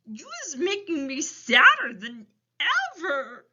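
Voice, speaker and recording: A woman's voice: high-pitched, big pitch swings, takes it slowly, pushed and bright, muffled recording, voice pushed up, exaggerated voice. A woman voicing a big friendly male giant from a children's storybook, tender-hearted and speaking in quirky broken grammar. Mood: sad, pitying.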